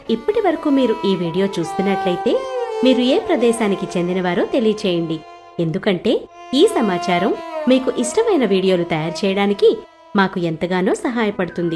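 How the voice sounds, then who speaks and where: A woman's voice: medium pitch, a little mellow. A woman storyteller reading a scripted devotional moral tale as narration. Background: background music.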